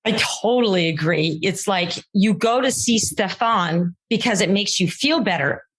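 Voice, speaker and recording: A woman's voice: medium pitch, normal speed. A woman co-hosting a podcast in a casual, chatty style. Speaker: podcast co-host. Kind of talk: casual chat.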